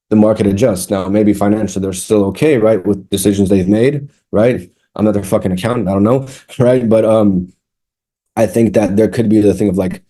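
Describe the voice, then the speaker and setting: Rambling mellow-voiced man in his thirties. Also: fairly low pitch. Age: 30s. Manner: rambling, frequent restarts and fillers, candid. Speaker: music producer, guest. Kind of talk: casual interview chat.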